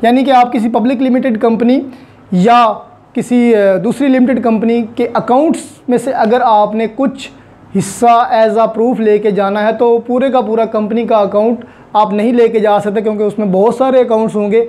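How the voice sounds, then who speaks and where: A woman's voice: on the high side, fairly even intonation, moderate pace, mellow. A woman with a Pakistani accent giving an explanatory law lecture in a didactic way, mixing in English technical terms. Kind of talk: explanatory online lecture with everyday examples.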